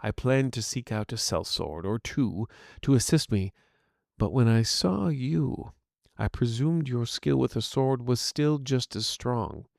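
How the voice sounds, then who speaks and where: A man voicing an aging wizard villain in a fantasy story, speaking formally with proud, measured scorn. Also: mid-range voice, expressive, gravelly, darkish tone, no room sound, clean studio sound. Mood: stern, proud.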